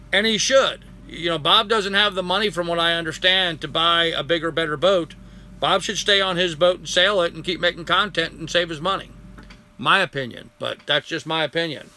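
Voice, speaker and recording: An older man hosting a casual live stream, chatting and answering viewer comments, getting hoarse after a long session. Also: on the high side, bright, forceful tone. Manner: rambling, friendly, greets viewers by name.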